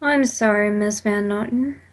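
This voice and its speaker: A woman's voice: mid-range voice, a little slow, lightly acted. A woman playing a prim, apologetic but unbending clerk in an old time radio drama, formal and officious.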